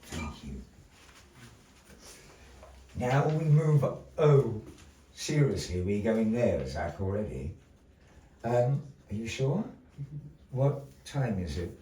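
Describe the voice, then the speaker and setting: A man acting as master of ceremonies at a live show, polite and halting, with a mock-flustered theatrical patter. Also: on the low side, big pitch swings, warm tone. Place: indoor gallery performance space. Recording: roomy live venue, distant mic, faint noise.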